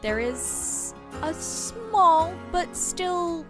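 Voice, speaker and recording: A woman's voice: very high-pitched, big pitch swings, very slow, music in the background. A woman narrating an improvised tabletop roleplaying game as the game master.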